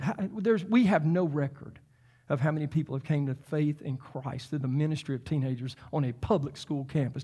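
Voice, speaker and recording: A man, preaching a sermon in an animated way, repeating and restarting his phrases and climbing to near-shouts. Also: on the high side. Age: 50s. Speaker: pastor.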